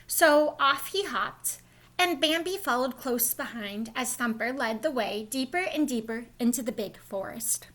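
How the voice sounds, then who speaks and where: Female storyteller for young kids, high-pitched and bright, expressive read-aloud delivery. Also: unhurried. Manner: expressive, explains to young listeners. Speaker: storybook reader, school staff.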